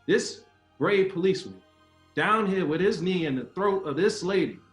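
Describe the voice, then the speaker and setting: A man's voice: high-pitched, lively intonation, moderate pace. An actor playing an earnest baseball great, father and civil rights speaker in a dramatic table read, with oratorical delivery. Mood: solemn oratory. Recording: roomy echo with music behind. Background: music.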